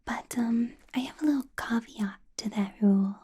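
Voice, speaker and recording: A woman voicing a possessive ancient female vampire boss in a whispered ASMR roleplay, soft and doting with a quiet menace, close to the microphone. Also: a little high, normal speed, slightly rough. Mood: sweetly menacing.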